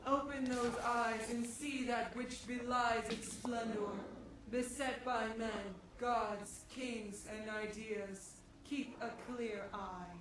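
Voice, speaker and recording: A solemn female spirit intones cryptic prophecy from far off in a cavernous echo. Her words come slowly and with grave mystery, as in a fantasy game, with heavy noise washing around them. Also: a little high, fairly even intonation. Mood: solemn, ominous. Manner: solemn, cryptic, slow incantation. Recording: distant, cavernous echo with noisy ambience.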